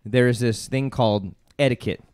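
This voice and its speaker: A man's voice: medium pitch, normal speed, clean tone, no echo, studio quality. A man hosting a casual podcast with friends, chatting and joking during a football game. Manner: animated, laughing, teasing. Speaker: podcast host. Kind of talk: casual banter while watching football. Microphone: podcast mic.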